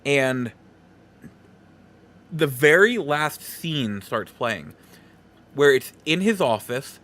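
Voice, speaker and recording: A man's voice: mid-range voice, animated, very slow, fairly bright tone. Casual male podcast host, conversational and rambling. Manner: informal, conversational, rambling asides. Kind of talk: casual solo movie review talk.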